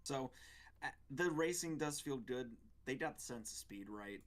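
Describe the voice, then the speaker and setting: A man's voice: medium pitch, lively intonation, moderate pace, fairly bright tone. A man co-hosting a gaming show, giving his take on games in casual conversation. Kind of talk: casual game review chat.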